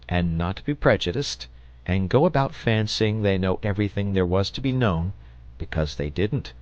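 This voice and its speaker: A man reading a children's story aloud as an audiobook narrator, in an expressive storybook style. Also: lowish voice. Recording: dull, lo-fi audio.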